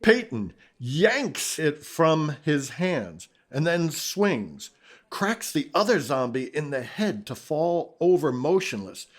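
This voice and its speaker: Brief: male narrator for radio drama, husky, unhurried but animated. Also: on the high side. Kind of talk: scripted radio play narration.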